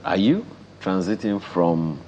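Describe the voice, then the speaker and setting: A man's voice: low-pitched, lively intonation, takes it slowly, a little mellow, lo-fi sound, slight background noise. A man co-hosting a television interview in a casual, teasing, bantering way. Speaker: TV co-host, interviewer. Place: television studio.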